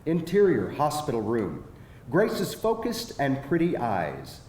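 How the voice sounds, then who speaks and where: A man's voice: mid-range voice, huge pitch swings, a little slow, darkish tone. A man narrating stage directions in a live screenplay reading. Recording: echoey hall, faint background noise.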